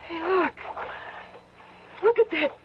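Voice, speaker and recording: A worried, weary woman with a high, plaintive voice in an old radio drama. She frets as she speaks. The old broadcast is dull, with faint noise. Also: slow. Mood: uneasy.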